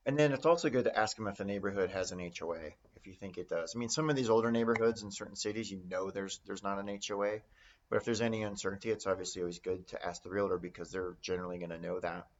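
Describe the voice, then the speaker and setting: A man's voice: deep voice, steady tone, quick pace, lo-fi sound. A man giving informal, conversational instruction as an investing teacher. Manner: conversational, role-plays example phone calls. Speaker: real estate note investing instructor.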